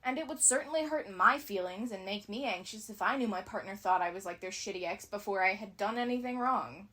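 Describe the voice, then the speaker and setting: A woman's voice: mid-range voice, brisk, bright, forceful tone. A woman giving scripted analysis commentary as a video essayist, with casual asides.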